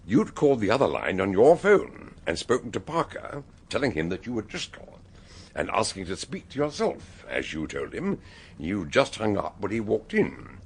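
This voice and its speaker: A shrewd, blunt police chief in a radio play. He speaks low and gravelly, with hugely varied intonation and a brusque, knowing authority. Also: wildly swooping pitch, moderate pace, heavily acted. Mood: explaining. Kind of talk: radio drama acting.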